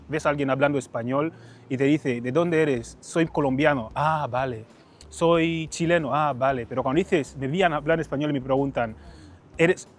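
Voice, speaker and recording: A man's voice: on the high side, a little hiss or hum. A man from Equatorial Guinea gives casual interview answers, often re-enacting bits of conversation. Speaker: documentary interviewee. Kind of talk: casual interview talk with acted-out dialogue. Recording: music under the voice.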